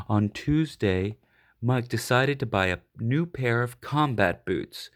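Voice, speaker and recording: Guy reading a kids book out loud, really slow and expressive, dramatic emphasis on words. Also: on the low side. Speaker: storybook reader. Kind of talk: expressive children's picture-book read-aloud.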